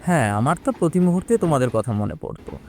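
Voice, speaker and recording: A man playing a young man who recounts his own eerie experience in an expressive, suspenseful audio horror drama. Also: a little high, quick pace, slight background noise. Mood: suspenseful, earnest. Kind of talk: dramatic first-person audio horror storytelling.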